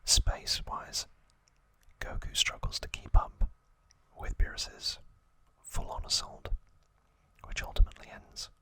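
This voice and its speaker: Whispering man, slow ASMR delivery. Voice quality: breathy, unvoiced whisper. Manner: slow, gentle, deliberate delivery with pauses. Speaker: ASMR channel host. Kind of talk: whispered ASMR recap narration.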